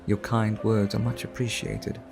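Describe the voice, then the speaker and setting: A man playing a suave, refined vampire art collector in an ASMR roleplay, speaking in a formal, courteous, flirtatious and measured way. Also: fairly low pitch, level tone, noisy background. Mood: courtly, musing.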